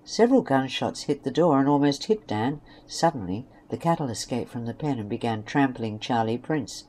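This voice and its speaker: A woman's voice: very deep voice, lively intonation, normal speed, a little mellow. A woman reading scripted story narration for an amateur audio drama.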